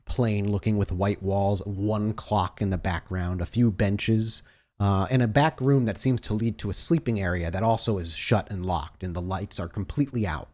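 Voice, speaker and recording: A man working as game master, narrating a tabletop roleplaying scene in a deliberate, descriptive and dramatic way. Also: fairly low pitch, darkish tone.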